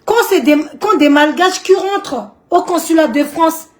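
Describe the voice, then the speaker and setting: A woman's voice: very high-pitched, animated, normal speed. An outspoken woman from Madagascar with a Malagasy accent, talking indignantly to camera in her vlog and repeating points for emphasis. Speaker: vlogger.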